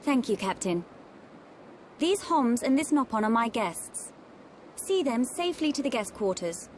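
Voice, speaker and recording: A young noblewoman from a fantasy game. Her voice sits fairly high, poised and reserved, with formal dutiful phrasing and faint ambient noise behind her. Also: sing-song, measured pace. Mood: formal, resolute. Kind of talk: video game cutscene acting.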